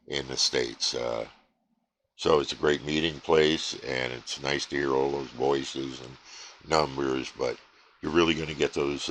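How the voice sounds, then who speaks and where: A man casually chatting to camera about his radio hobby, rambling and relaxed with frequent fillers and restarts. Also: rumbling low, slight background noise. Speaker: CB radio hobbyist and channel host. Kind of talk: casual unscripted vlog chat.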